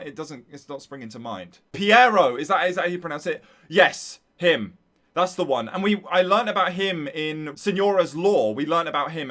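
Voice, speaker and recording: Enthusiastic higher-voiced male streamer. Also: fairly bright tone. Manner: enthusiastic, slangy. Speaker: streamer reacting to a game trailer. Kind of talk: casual excited live reaction.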